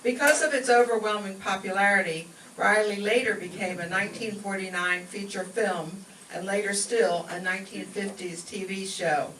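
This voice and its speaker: A woman's voice: mid-range voice, fairly even intonation, moderate pace, few pauses, a bit bright. A woman giving a scripted introduction as master of ceremonies at a live show. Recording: echoey room, distant mic, faint noise.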